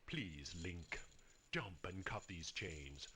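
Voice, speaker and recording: A weary deep-voiced chained father. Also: expressive, slow.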